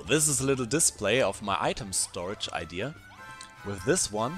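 A man with a German accent explaining game tips in a relaxed, chatty voice-over, with dry little asides. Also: fairly low pitch. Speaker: gaming YouTuber.

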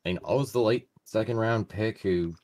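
A man with a slightly raspy voice as color commentator on a video game match, casual and quick to react. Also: lowish voice, a little mellow.

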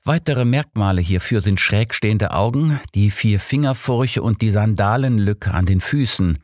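A man's voice: lowish voice, moderate pace, no room sound, clean studio sound, pitched above the performer's own voice, natural voice. A man voicing an older, earnest physician companion in an audiobook, formal and learned. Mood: troubled. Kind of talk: audiobook character dialogue.

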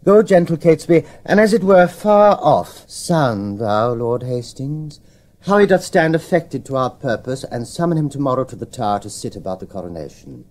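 An actor playing a smooth-talking, scheming nobleman in a Shakespearean audio drama, measured and commanding. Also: mid-range voice, sing-song, darkish tone, natural voice. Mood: conspiratorial, commanding. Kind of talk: Shakespearean radio drama acting.

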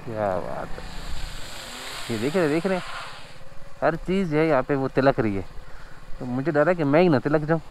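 A man's voice: fairly high pitch, lively intonation, normal speed, long pauses, a little mellow, a little hiss or hum, low-quality recording. Chatty man riding through snow. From Pakistan. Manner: chatty, marvels at scenery, chuckles often. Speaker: vlogger. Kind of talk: casual motorbike ride commentary. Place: outdoors on a snowy mountain road.

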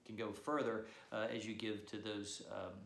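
A man's voice: fairly low pitch, little pitch movement, normal speed, creaky, fairly bright tone. A man, a priest, giving church announcements from his living room, hesitant with many fillers. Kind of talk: church announcements and opening prayer. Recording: echoey room.

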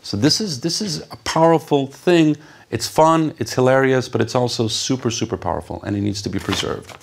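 A man in his fifties from Poland, whose first language is Polish, giving an animated, conversational stage talk with many fillers to a seated audience. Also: medium pitch, a little fast, creaky, slight background noise. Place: stage before a seated audience in a dark hall.

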